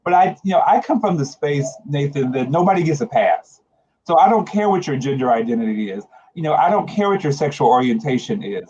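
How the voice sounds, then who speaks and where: A man in his late forties talks in a reflective, emphatic way, as a guest in an earnest discussion. His voice is warm and relaxed with a husky edge. The recording is a little dull and lo-fi. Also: a little high, a little fast. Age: late 40s. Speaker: guest, HIV organization leader. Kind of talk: earnest conversational panel discussion.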